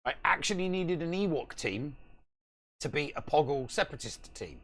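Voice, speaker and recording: A man's voice: on the high side, lively intonation, moderate pace, a bit bright. A middle-aged man co-hosting a casual live gaming podcast from home on a video call, talking emphatically and repeating himself for stress. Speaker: podcast co-host.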